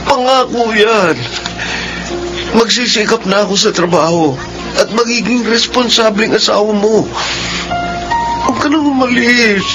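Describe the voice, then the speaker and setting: An actor playing an immature young Filipino husband, emotional and whining, in a radio drama. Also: male voice, sits high, a little slow, long pauses, low-quality recording. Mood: remorseful, pleading. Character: defensive, irresponsible young husband, pleading and remorseful. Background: prominent dramatic music.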